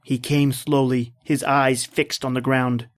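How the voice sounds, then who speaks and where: An actor playing a tense, determined hunted man, narrating his ordeal gravely and deliberately as in an old-time radio drama. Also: mid-range voice, little pitch movement, close to an everyday voice. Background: background music.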